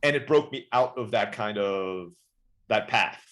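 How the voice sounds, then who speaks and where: A man in his forties, born in the Philippines and raised in Turkey, chatting quickly as a podcast guest telling his life story. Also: lowish voice, a bit bright. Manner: rapid, chatty, often saying "right?". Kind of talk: casual interview storytelling.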